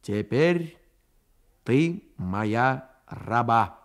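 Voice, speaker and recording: A man voicing a cocky, gloating schoolboy in a radio story, taunting and declamatory with drawn-out words. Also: mid-range voice, lively intonation. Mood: smug, solemn.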